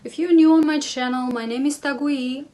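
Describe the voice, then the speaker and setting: A woman's voice: sits high, steady tone, moderate pace, dark tone. Chatty woman in her twenties, English. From Russia. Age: 20s. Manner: chatty, upbeat, hesitant non-native phrasing. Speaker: vlogger. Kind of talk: casual talk to camera and cooking voice-over. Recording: roomy sound with faint music behind.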